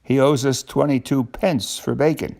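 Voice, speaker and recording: Older man reading as a gravelly, fretful terrier shopkeeper. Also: mid-range voice, fairly even intonation, moderate pace, darkish tone, lightly acted. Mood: worried. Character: anxious, law-fearing male terrier shopkeeper from a storybook.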